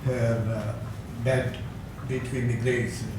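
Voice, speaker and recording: An older man giving a conference talk, hesitant with many fillers and soft chuckles. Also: medium pitch, level tone, takes it slowly. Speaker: academic speaker. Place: lecture hall. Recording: echoey hall, distant mic, noisy.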